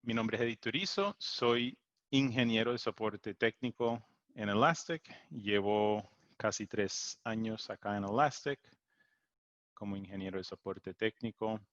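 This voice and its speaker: Man, native English speaker, unhurried pace, low voice, hesitant fillers, dull lo-fi call audio. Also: fairly bright tone, no echo. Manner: hesitant, many fillers and restarts. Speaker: technical support engineer presenting a webinar. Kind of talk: online tech presentation. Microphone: computer mic on a video call.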